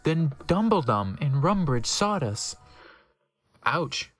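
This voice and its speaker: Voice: medium pitch, sing-song, slow. A narrator giving a dramatic, comedic reading of a story with asides. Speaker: narrator, reader.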